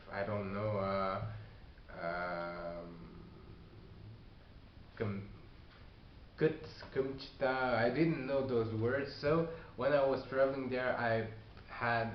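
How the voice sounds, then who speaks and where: A man who is not a native English speaker, giving casual advice on learning a language, talking haltingly with many fillers and restarts. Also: mid-range voice. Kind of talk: casual video response. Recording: echoey room, dull lo-fi sound, faint hiss.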